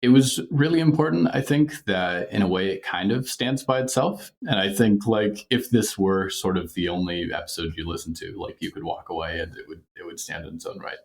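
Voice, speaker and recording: Low-voiced man in a thoughtful interview. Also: brisk, creaky, hardly any pauses. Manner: chuckles often while explaining thoughtfully. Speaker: podcast creator, interview guest. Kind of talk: casual interview chat.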